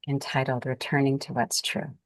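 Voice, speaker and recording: A woman leading a guided meditation as its guide, speaking calmly and soothingly in gentle, unhurried phrases with pauses. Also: rumbling low, dry recording, low-quality recording.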